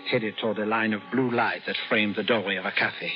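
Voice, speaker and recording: A man's voice: lowish voice, steady tone, a little fast, over music, natural voice. An actor playing an anxious, exasperated man who tells his wartime tale in dramatic old-time radio style. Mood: tense narrating. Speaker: narrator and lead character. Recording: noisy, dull old radio recording.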